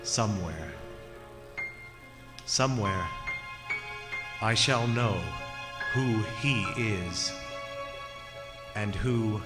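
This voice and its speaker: An actor playing a desperate, haunted young son on the road in an old-time radio drama, intense and theatrical. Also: male voice, fairly low pitch, very slow, long pauses, in a large hall, over loud music. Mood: haunted, brooding. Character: desperate haunted male traveler, son telling his eerie story.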